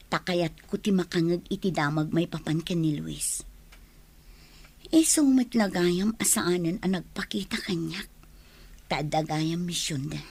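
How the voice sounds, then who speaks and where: A woman's voice: fairly low pitch, a little mellow, breathy edge. Woman as guarded young sweetheart, music behind. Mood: pensive, quiet. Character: guarded young woman, courted but wary of drinking men. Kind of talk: radio drama acting. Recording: music under the voice.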